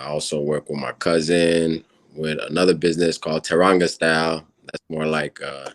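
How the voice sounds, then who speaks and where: A man's voice: extremely low pitch, vocal fry, dry recording. A man in his thirties from Senegal, a native Wolof speaker, chatting casually as an interview guest with many fillers. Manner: relaxed, rambling with many fillers. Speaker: guest musician.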